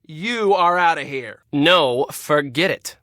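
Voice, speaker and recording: An actor playing a pushy, irritable spa resort manager in a video game, snippy and sarcastic. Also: male voice, a little high, animated, slow, fairly bright tone, no room sound, clean studio sound. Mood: exasperated, scornful. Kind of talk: scripted video game dialogue.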